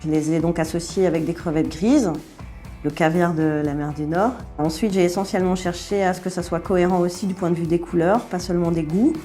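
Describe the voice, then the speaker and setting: A woman's voice: fairly low pitch, steady tone, a little fast, warm tone, soft background music. A middle-aged woman chef from France with a Belgian French accent, answering interview questions in an easygoing way with many hesitations.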